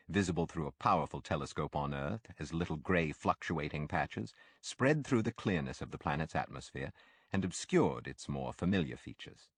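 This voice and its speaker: A man reading a classic novel as an audiobook narrator, with a measured, expressive storytelling delivery. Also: very deep voice, slightly rough, no room sound.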